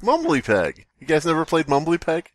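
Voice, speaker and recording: A man with a lively, swinging delivery riffs with his co-hosts on a podcast. He is sarcastic and playful, swears freely, and is recorded dry and close, with no room sound. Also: a little high, normal speed, no echo. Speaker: podcast co-host. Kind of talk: joking casual chat, riffing.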